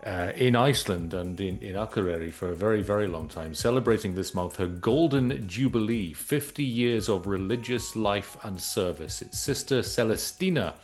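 A man hosting a radio interview, speaking in a clear, measured way. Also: fairly low pitch, darkish tone. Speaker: radio host. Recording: radio broadcast with music bed.

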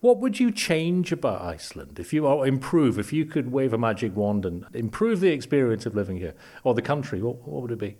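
A man hosting an interview podcast, asking friendly, unhurried questions and often restarting his sentences. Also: mid-range voice, sing-song, warm tone. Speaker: podcast host. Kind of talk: conversational interview.

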